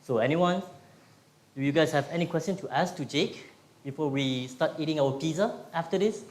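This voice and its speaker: A man's voice: on the high side, moderate pace. A man moderating a Q&A at a tech meetup, prompting the guest and the audience in a friendly, upbeat way. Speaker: event moderator. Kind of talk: hosting a Q&A. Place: meetup event room. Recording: echoey room.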